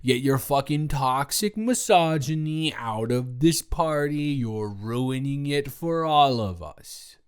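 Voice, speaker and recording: A man's voice: mid-range voice, expressive, takes it slowly, few pauses, clean tone. A young man playing a tipsy, goofy college student roommate, rambling and stammering as he talks directly to the listener in an improvised audio roleplay. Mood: indignant. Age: young adult. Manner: rambling, stammering drunken speech with giggles.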